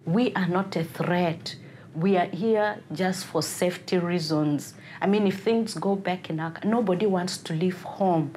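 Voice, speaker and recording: Low-voiced Ugandan woman interviewee. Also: measured pace, a little mellow, slight background noise. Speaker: interviewee, refugee. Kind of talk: spoken interview testimony.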